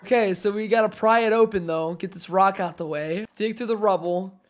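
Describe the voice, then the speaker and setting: A young man in his twenties giving casual, excitable reaction commentary on an anime episode, exclaiming, laughing and reading subtitles aloud, recorded on a headset in a small home room. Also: high-pitched, fairly quick.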